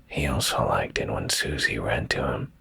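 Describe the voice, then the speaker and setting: A man reading a bedtime story in ASMR style, hushed and deliberate with pauses, in a breathy, gravelly low whisper close to the microphone. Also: lively intonation, slight background noise.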